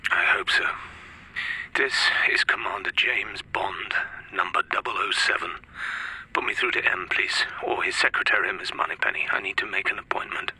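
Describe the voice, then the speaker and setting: An actor playing a terse, weary British male secret agent in a radio drama, clipped and deliberate. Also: sits low, pushed and bright, husky, whispered, heavily acted, rough recording. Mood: terse, insistent.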